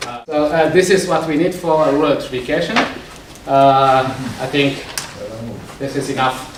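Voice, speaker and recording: Halting man explaining a project. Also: on the high side, fairly even intonation, background noise. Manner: halting, repeats words. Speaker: rural electrification entrepreneur. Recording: echoey, distant mic.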